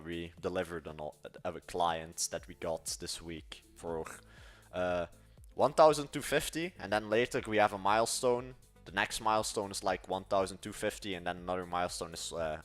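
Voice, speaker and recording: A young man with a Dutch accent talks in a fairly low voice, loose and chatty, often restarting his sentences mid-thought. Faint music plays quietly behind him. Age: young adult. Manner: rambling, self-correcting, chatty. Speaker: livestream host. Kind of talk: casual livestream chat.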